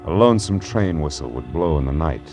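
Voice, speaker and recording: A very low-pitched, world-weary private eye in a vintage radio crime play. He is wry and assured, with clipped hard-boiled phrasing and an expressive, moving melody, over music at times. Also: a little fast. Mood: weary, reflective. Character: wry, world-weary male private detective, patient and kindly. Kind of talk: 1940s hard-boiled radio drama acting. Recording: vintage 1940s radio broadcast.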